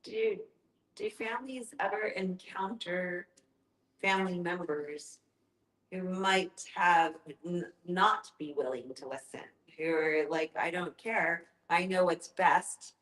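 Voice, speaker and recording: A woman's voice: on the low side, takes it slowly, fairly bright tone. A woman moderating a group discussion, posing questions conversationally and searching for her words. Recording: roomy echo.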